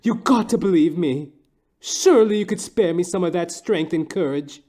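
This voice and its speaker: A man's voice: high-pitched, normal speed, dark tone, voice pushed up. A man voicing a desperate, melodramatic wife begging for help in a comedic detective audio play. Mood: desperate pleading.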